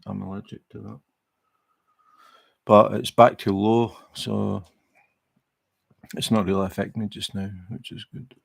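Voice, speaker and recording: A middle-aged Scottish man chatting casually on a livestream while he crafts, relaxed and rambling, with many fillers and restarts. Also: on the low side, a little slow, gravelly, long pauses, no echo. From Scotland. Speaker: livestream host. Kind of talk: casual chat while crafting, reading viewer comments.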